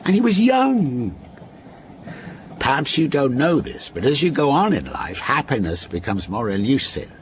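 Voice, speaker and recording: An actor in a radio drama playing a wistful, contrary older man who tells stories in a deliberate, lyrical and insistent way. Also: mid-range voice, very animated, slight background noise, lightly acted. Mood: insistent, challenging. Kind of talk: radio drama acting, storytelling.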